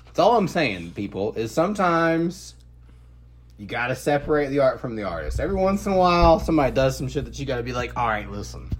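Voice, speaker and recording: Man, podcast host, mid-pitched voice, slangy and profane, opinionated casual banter, humming noisy recording. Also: very animated, fast. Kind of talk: casual comedic podcast chat. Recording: clearly noisy, humming background.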